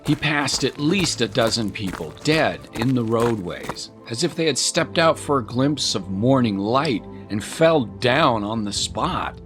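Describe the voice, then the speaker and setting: A man narrating a scripted dramatic story in a measured, solemn manner. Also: medium pitch, lively intonation, over music.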